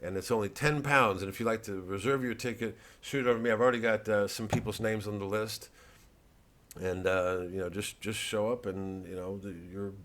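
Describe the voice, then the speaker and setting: Low-voiced man rambling haltingly to his audience. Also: fairly even intonation, a bit bright. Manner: halting, digressive, self-deprecating. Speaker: comedian. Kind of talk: rambling casual monologue to an online audience.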